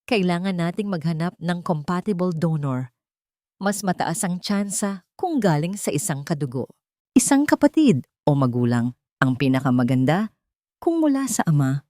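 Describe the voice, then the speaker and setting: A woman voicing a serious hospital doctor in a storybook reading, grave and measured. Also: on the low side, sing-song, dark tone, no room sound, clean studio sound. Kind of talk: scripted narration.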